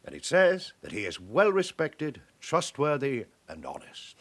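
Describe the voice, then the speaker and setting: A senior police chief inspector in a radio drama, methodical and suspicious. His medium-pitched voice rises and falls widely as he deliberately builds his case with pointed questions. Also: huge pitch swings, full character voice. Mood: pensive, pointed. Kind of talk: naturalistic radio drama acting.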